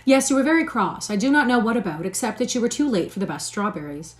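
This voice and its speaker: A woman's voice: on the high side, expressive, voice pushed up, natural voice. Woman voicing a witty young lady. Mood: teasing. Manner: brisk, mocking.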